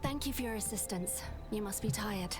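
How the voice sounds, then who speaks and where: A woman's voice: medium pitch, moderate pace, close to an everyday voice. A woman playing a caring, firm army medic in a video game, speaking politely and with reassuring composure. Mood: gentle, reassuring. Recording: background music over game dialogue.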